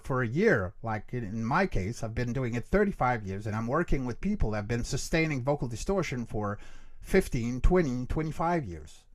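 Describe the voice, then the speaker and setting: A middle-aged man speaking as an expert interview guest, explaining earnestly and emphatically and often checking that the listener follows. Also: mid-range voice. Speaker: interview guest, creature voice actor and vocal coach.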